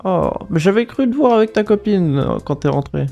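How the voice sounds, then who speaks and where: A man voicing a frail, forgetful old grandmother in a care home, speaking slowly and hesitantly in a tabletop role-play. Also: high voice, expressive, creaky, mellow, muffled recording, faint music behind, pitched above the performer's own voice.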